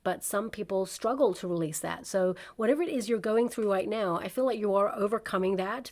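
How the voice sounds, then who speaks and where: A woman's voice: mid-range voice, darkish tone. A woman giving a casual tarot reading, chatty and reassuring, often checking in with the listener. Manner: chatty and reassuring, frequent "okay?" asides. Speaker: tarot reader.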